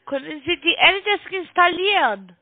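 A woman's voice: extremely high pitch, expressive, moderate pace. A woman with a foreign accent, a non-native speaker working as a call centre agent, giving instructions over a narrow phone line. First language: not German.

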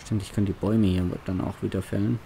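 A man's voice: on the low side, level tone, fast talker, creaky, warm tone, slight background noise. A young man chatting casually while playing a farming roleplay, giving loose commentary. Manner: chatty, laughs between phone calls. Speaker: let's play roleplay host.

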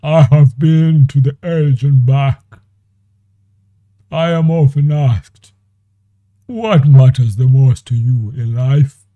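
A man performing the same dramatic monologue several times over, in a slow, theatrical delivery with long pauses. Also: on the high side, warm tone, no room sound, lo-fi sound. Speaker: animator and voice actor. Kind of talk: dramatic monologue in five voice takes.

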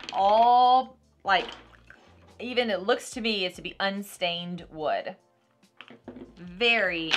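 Bright-voiced Texan woman, gushing and animated. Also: medium pitch. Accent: Texan American. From Texas, United States. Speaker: unboxing video host. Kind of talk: casual product unboxing chat. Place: small decorated room at a table, indoors.

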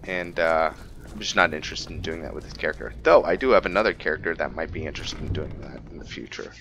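A man's voice: a bass voice, quick pace, a bit bright, a little hiss or hum. A man giving casual roleplay commentary on a video game, rambling and thinking aloud as he plays. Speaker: gaming video host. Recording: dull lo-fi mic under music. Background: game music.

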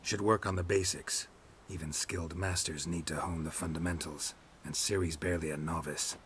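An actor playing a dry, gruff monster hunter with a terse way of talking in a fantasy video game. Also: male voice, low voice, steady tone, normal speed, a little hiss or hum, close to an everyday voice. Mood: matter-of-fact. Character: dry, gruff adult male monster hunter, teasing guardian.

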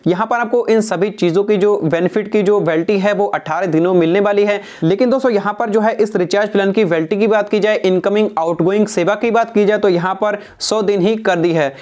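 A man's voice: high voice, a little fast, hardly any pauses, lo-fi sound. A young man talking to the camera about phone recharge plans, often calling his viewers "friends", with a clip-on mic in a small indoor room. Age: young adult. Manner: repetitive, keeps saying "friends", steady explanatory delivery. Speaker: tech channel host. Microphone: clip-on lapel mic.

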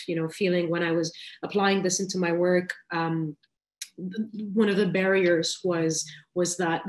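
A woman in her thirties answering questions as a guest on a video call, halting and soft, with many restarts. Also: fairly low pitch, low-quality recording. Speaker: guest speaker. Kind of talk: casual interview answers. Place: small room indoors.

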